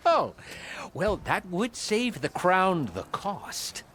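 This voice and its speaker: An actor playing a stern, weary town magistrate in a video game, formal, measured and dryly amused. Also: male voice, high-pitched, big pitch swings, a bit bright, exaggerated voice. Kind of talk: video game cutscene acting.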